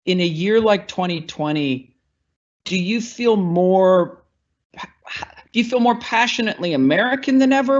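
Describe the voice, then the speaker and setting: Male webinar host, chatty, animated questions, higher voice, muffled call audio. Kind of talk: interview moderation. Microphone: remote webinar call.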